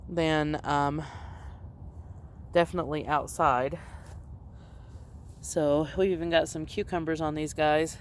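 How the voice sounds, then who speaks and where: A woman hosting a casual outdoor garden walk-through, slightly congested while getting over an illness, talking in an unhurried, plain way with frequent ums. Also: deep voice, slight background noise.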